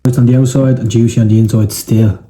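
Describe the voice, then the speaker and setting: Young irish guy cooking and chatting, very dark mellow tone, swears a bit. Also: medium pitch, steady tone, fast, breathy edge. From Ireland. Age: young adult. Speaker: cooking channel host. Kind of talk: casual cooking vlog narration.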